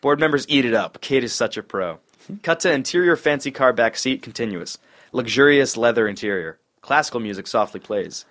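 A man's voice: medium pitch, expressive, fairly quick, fairly bright tone. A man narrating stage directions at a comedy table read in a dry, clipped way.

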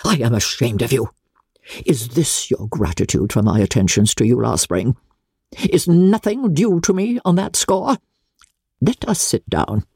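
A woman's voice: a bass voice, creaky, warm tone, husky, dry recording, studio quality, voice pushed down, heavily acted. A woman reading a classic novel aloud, voicing an imperious, indignant older aristocratic lady with pompous, emphatic delivery. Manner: pompous, declamatory, emphatic, formal. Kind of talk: dramatic audiobook reading of classic literature.

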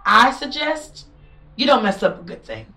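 A woman's voice: fairly high pitch, big pitch swings, moderate pace, sharp tone, slight background noise. An actress playing a frank, disapproving female confidante in an audio drama, with a chiding, candid manner. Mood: chiding, concerned. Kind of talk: modern audio drama acting.

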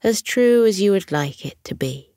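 A woman narrating a scripted children's bedtime story in a gentle, soothing manner. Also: mid-range voice, animated, normal speed, no echo, clean studio sound.

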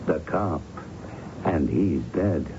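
A man's voice: low-pitched, expressive, slow, heavily acted. An actor playing a smug, slangy gangster in a radio drama, needling and drawling through his tough talk. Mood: cool, assured. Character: smug, slangy male gangster giving veiled reassurance. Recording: muffled, noisy 1970s radio broadcast.

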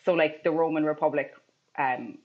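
A woman's voice: sits low, normal speed, dull audio. A woman co-hosting a podcast, explaining history in a casual, lightly laughing way.

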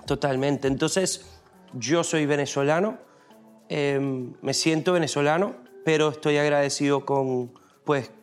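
Young man, thoughtful, halting, light music bed. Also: a little high, steady tone. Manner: thoughtful, hesitant, many ums. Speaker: son, guest. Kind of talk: family podcast conversation.

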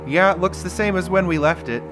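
A man's voice: high voice, brisk, over music, close to an everyday voice. A man playing a shifty, jealous killer who poses as a timid companion, acted theatrically in 1930s radio suspense style. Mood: guarded.

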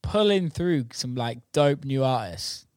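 A man speaks at a middling pitch with a dry, close sound. He is a casual interviewer, informal and sweary, with an enthusiastic way of talking. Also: lively intonation, unhurried, a little mellow, no echo. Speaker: interviewer, DJ and label owner.

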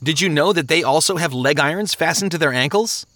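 A man's voice: on the high side, a little fast, bright, forceful tone, no room sound, voice pushed up. A man voicing an earnest, anxious pilgrim in an audiobook, insistent and preachy as he pleads with others. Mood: concerned, baffled. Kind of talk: audiobook character voice.

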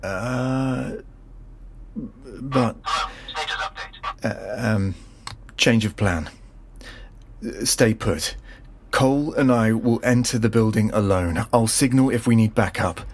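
An actor playing a reluctant male commanding officer in an audio drama, worried, terse and hesitant, with a husky voice. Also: on the low side, takes it slowly, long pauses. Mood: flustered, commanding.

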